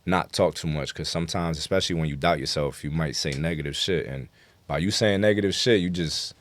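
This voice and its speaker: A man's voice: very deep voice, fairly quick. A man chatting casually as an interview guest, explaining things earnestly in street slang. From New York City.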